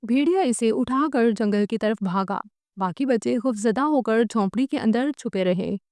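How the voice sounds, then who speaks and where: Woman, storyteller, scripted narration, higher-pitched voice, dry close sound. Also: a little mellow, dry recording.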